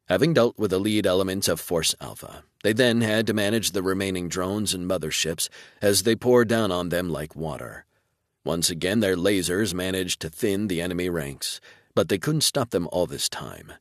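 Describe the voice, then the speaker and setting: A man's voice: low voice, normal speed, no room sound, clean studio sound. A man reading scripted narration for a military science fiction audiobook.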